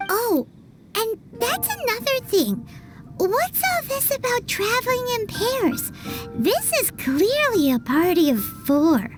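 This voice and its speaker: Tiny excitable fairy sidekick for anime game: squeaky, extremely high, very animated, blunt and childlike. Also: female voice, slow, over loud music, full character voice. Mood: incredulous. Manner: blunt, refers to self in third person. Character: tiny chatty excitable fairy-like girl companion. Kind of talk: anime video game voice acting.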